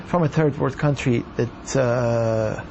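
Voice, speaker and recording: A doctor from Iran telling his story in an earnest, measured way. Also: medium pitch, darkish tone, muffled recording, a little hiss or hum. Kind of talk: reflective personal account.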